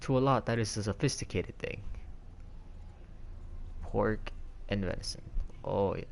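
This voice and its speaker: A man's voice: fairly low pitch, unhurried, gravelly, a little hiss or hum. A man streaming from home on a headset mic, rambling in casual chat with many fillers and restarts.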